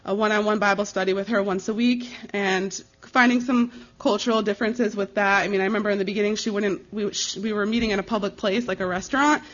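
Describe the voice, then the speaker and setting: A young American woman speaking as a missionary, giving an informal slide presentation in a conversational, earnest and halting way. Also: medium pitch, fairly even intonation, fairly bright tone. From United States. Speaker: missionary giving a ministry report. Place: live talk before an audience. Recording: dull, slightly lo-fi recording.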